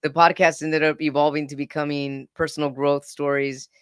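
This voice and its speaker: A man's voice: on the high side, level tone, moderate pace, fairly bright tone, clean tone, no echo, studio quality. A man in his forties telling his own story as a podcast guest, earnest and often repeating words as he starts a sentence. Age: 40s. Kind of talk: casual personal storytelling.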